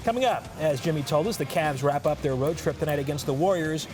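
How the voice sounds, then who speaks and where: A woman's voice: low voice, quick pace. An American woman working as a news anchor, with light studio banter and teases.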